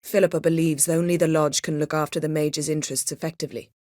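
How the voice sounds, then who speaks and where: A woman's voice: deep voice, level tone, quick pace, a little mellow, dry recording, clean studio sound, close to an everyday voice. A woman voicing a proud, commanding, sardonic sorceress in a fantasy video game, with formal, cool, clipped diction. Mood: cool, composed. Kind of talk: video game voice acting, scripted dialogue.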